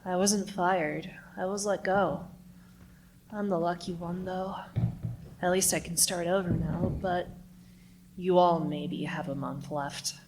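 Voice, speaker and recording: A woman's voice: fairly low pitch, fairly even intonation, slow pace. A woman playing a forlorn, overlooked custodian in a live radio play, speaking in a subdued, hesitant way. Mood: resigned. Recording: faint background noise, live stage recording.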